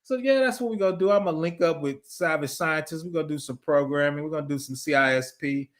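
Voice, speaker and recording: A man's voice: on the high side, quick pace, fairly bright tone. A man, chatting casually on a livestream, reading viewer messages, laughing often and rambling through asides. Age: 50s. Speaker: livestream host reading super chats.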